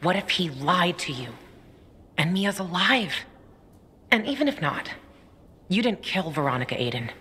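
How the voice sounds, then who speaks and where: A woman's voice: on the low side, expressive, moderate pace, long pauses, pushed and bright. A woman playing a tough, loyal young fighter in a video game cutscene, blunt and wry but caring. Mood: firm, resolute. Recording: noisy ambience behind the voice.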